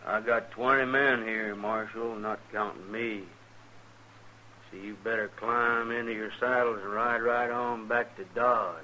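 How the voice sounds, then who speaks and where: A man's voice: fairly low pitch, level tone, a little slow, bright, forceful tone. A man playing a defiant cattle drive boss with a folksy, threatening drawl in a 1950s radio western. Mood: defiant, menacing. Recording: noisy 1950s radio recording.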